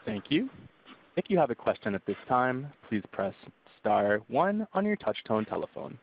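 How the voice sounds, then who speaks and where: A man's voice: medium pitch, sing-song, moderate pace, creaky, husky. A male operator comes through on a narrow, tinny phone line. He delivers scripted call instructions in a formal, procedural manner, with a mid-range voice. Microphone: telephone.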